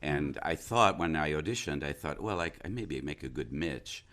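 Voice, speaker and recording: Very low male voice, actor being interviewed, reflective, halting, thoughtful. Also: lively intonation, fairly bright tone. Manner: thoughtful, halting, searching for words. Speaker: interview guest, stage and radio-play actor.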